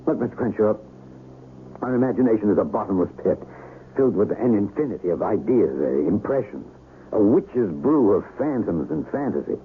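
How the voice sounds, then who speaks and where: An actor playing a rattled, haunted traveler in a 1970s radio drama, talkative and earnest, with a hesitant stammer. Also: male voice, mid-range voice, lightly acted. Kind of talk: 1970s radio drama acting with first-person narration. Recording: tinny, hissy 1970s radio broadcast.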